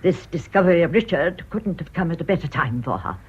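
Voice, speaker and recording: A woman's voice: fairly low pitch, lively intonation, brisk, a little mellow, slightly rough. A woman playing a sly, nosy old British spinster in a radio drama, teasing, pointed and blunt. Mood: suspicious, needling. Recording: muffled radio drama recording.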